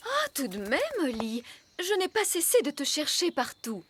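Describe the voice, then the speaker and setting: A woman voicing a strict fairy nanny in a children's cartoon, brisk and commanding as she teaches. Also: high-pitched, wildly swooping pitch, fairly bright tone, a little hiss or hum, heavily acted. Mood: exasperated. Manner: didactic, commanding. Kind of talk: children's cartoon dub acting.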